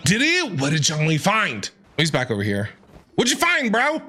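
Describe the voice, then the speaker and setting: A man reacts to a video game in casual streamer talk. His voice is bright and lively, swinging widely in pitch, full of exclamations and playful exasperation. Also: moderate pace.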